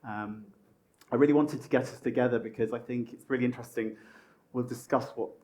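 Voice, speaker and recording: A man's voice: medium pitch, fairly even intonation, brisk, darkish tone. A man, a magazine editor hosting a design panel, chatty and full of fillers. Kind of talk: moderating a panel. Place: stage at a design event.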